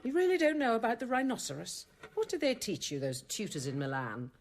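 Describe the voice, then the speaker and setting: An actress playing a composed, dryly witty convent apothecary nun in a radio drama, measured and articulate. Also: female voice, a little high, huge pitch swings, a little hiss or hum. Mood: wry, composed. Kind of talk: radio drama acting and narration.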